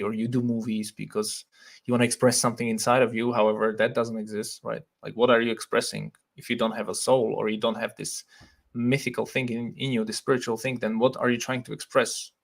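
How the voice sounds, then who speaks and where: A young Slovak man with a Slovak accent, hosting a casual livestream, reading comments and thinking aloud in a relaxed way with frequent laughs. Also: mid-range voice, gravelly. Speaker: livestream host.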